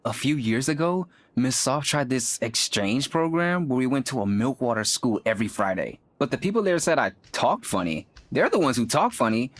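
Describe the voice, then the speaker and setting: A man's voice: medium pitch, very animated, moderate pace, hardly any pauses, dry recording. A man voicing a plain-spoken, folksy islander who grumbles mildly, in a cozy video game. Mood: mildly indignant.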